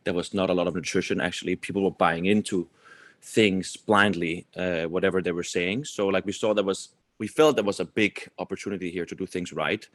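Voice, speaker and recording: A man's voice: low voice, fairly even intonation, a little fast, a bit bright, dry recording, studio quality. A man, answering interview questions in a loose, conversational way as a company executive. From Copenhagen, Denmark. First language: Danish. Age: 30s. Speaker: company executive, podcast guest.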